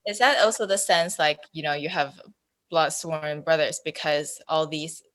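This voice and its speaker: A woman's voice: sits low, steady tone, moderate pace, a bit bright, no room sound. A woman speaking casually as a guest on a podcast. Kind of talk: casual chat.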